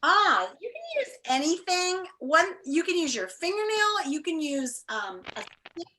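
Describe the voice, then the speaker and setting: A woman's voice: very high-pitched, big pitch swings, measured pace, bright, forceful tone, rough recording. A woman teacher talking and reading aloud to an online class, enthusiastic and encouraging. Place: indoors, on a video call.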